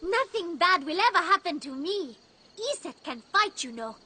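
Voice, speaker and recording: A very high-voiced fearless little girl. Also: sing-song, normal speed, sharp tone, a little hiss or hum, heavily acted. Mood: innocent, confident. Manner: cheerful, innocent. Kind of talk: video game cinematic acting.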